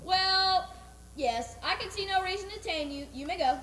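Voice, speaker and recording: A woman's voice: very high-pitched, expressive, moderate pace, fairly bright tone. A woman playing a brusque monarch holding court in an amateur fairy-tale stage play. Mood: brusque, surprised. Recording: echoey amateur stage recording.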